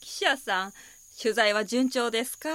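A woman's voice: on the high side, measured pace, a bit bright, dry recording. An actress playing a candid, offhand local woman with a Kansai accent in an audio drama. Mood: friendly. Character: casual local woman, candid, mildly dismissive. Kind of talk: Japanese audio drama dialogue.

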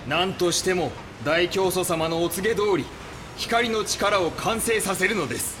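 An actor playing a cult leader's coldly polite, fanatical right-hand man in an audio drama, measured and formal. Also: high-pitched, noisy background. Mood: fanatical, grandiose.

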